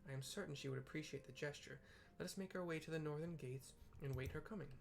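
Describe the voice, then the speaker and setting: A man voicing an earnest woman leader in a fantasy game, with formal, measured, archaic phrasing. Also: a little high, vocal fry. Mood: grave, resolute.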